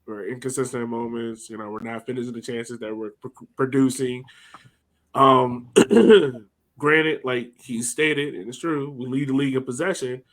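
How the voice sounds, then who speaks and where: A man's voice: mid-range voice, moderate pace, a bit bright, rough recording. A man hosting a casual sports podcast, giving his opinions in a conversational way. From United States. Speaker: podcast host.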